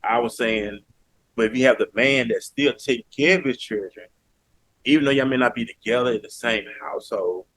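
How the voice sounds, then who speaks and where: A man's voice: mid-range voice, fairly quick, fairly bright tone, dry recording, rough recording. A man from Alabama with a Southern accent, talking earnestly and emphatically as a podcast guest. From Mobile, Alabama, US. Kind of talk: casual interview talk.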